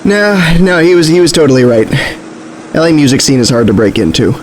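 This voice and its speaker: An earnest, nervy young guy in an audio drama. His mid-range voice swings expressively, and he stammers in a self-deprecating way. A vehicle engine is clearly heard around him. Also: fairly high pitch, very animated, a little fast. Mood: rueful, candid. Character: earnest, jittery male failed musician riding along. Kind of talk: naturalistic audio drama dialogue. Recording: noisy vehicle interior with engine.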